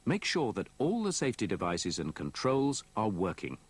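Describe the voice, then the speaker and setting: A man reads scripted instructional narration in a measured, methodical, explanatory way, copied from an old VHS tape. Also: on the low side, huge pitch swings, husky. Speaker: training film narrator.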